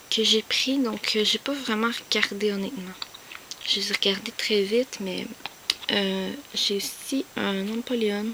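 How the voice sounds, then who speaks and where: Whispering Québécoise woman, bright and hesitant. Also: medium pitch, slight background noise, low-quality recording. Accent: Québécois French. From Quebec. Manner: mostly whispering, hesitant with many fillers. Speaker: collector showing finds. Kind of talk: soft whispered show-and-tell.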